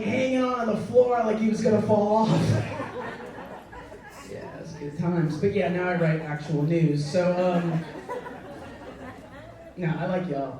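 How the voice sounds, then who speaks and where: A woman's voice: lowish voice, big pitch swings, a little slow, long pauses, a crowd in the background. A woman hosting a live storytelling slam, cracking self-mocking jokes and laughing often. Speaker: host. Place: live stage before an audience. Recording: echoey room, mic from far, audience.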